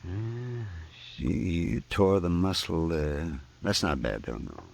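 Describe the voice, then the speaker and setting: Radio western town doc: folksy American, lowish but very animated, fussy, muttering, kindly. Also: male voice, slow, gravelly, exaggerated voice. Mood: fussing, relieved. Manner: muttering, chuckling, repeats himself. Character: fussy, folksy, caring frontier town doctor. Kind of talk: old-time radio western acting.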